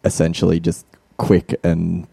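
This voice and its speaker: A male podcast host chatting casually, halting with lots of fillers and easy laughs. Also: low voice, monotone, vocal fry, husky, dry recording, clean studio sound. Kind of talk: casual radio chat. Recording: music playing loudly behind.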